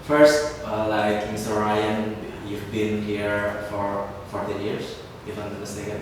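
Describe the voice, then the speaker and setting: Male audience member, Indonesian accent, low voice, far off in a reverberant hall with noise. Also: flat delivery. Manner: halting, hedged, with frequent "uh". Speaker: audience questioner. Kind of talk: asking a question at a session. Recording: echoey hall, picked up from afar.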